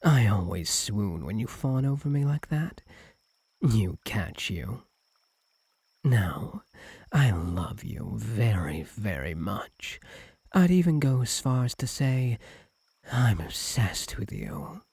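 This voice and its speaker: A man voicing a doting, possessive fox-spirit husband in an intimate ASMR roleplay, soft and breathy, often slipping into whispers, tender and clingy. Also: lowish voice, big pitch swings, takes it slowly, no room sound, heavily acted. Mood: adoring, confessional. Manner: tender, clingy, hesitant, lingering on words.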